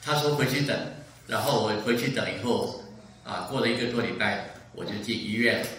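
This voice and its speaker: A man in his seventies from Taiwan, giving a church testimony in short measured sentences. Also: medium pitch, a bit bright, slight background noise. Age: 70s. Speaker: guest speaker giving a testimony. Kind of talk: church testimony in short phrases, paused for interpreting. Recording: echoey church hall, distant mic.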